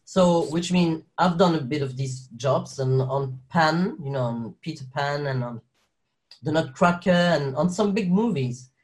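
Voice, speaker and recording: A man's voice: on the high side, a little slow, a little mellow. A young man with a French accent, a guest actor chatting in an interview, rambling with restarts and frequent laughs. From Belgium. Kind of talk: casual interview chat.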